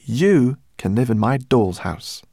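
A man's voice: mid-range voice, lively intonation, slow pace, vocal fry, dark tone, dry recording, studio quality, voice pushed up, exaggerated voice. A man voicing a friendly young girl, eager and confiding, in a storybook.

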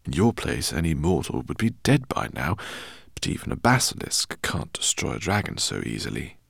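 A man voicing an excitable, eccentric older male professor who speaks in effusive, fussy exclamations. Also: a bass voice, moderate pace, gravelly, slightly rough, no echo, studio quality, heavily acted. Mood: explaining. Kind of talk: audiobook character reading.

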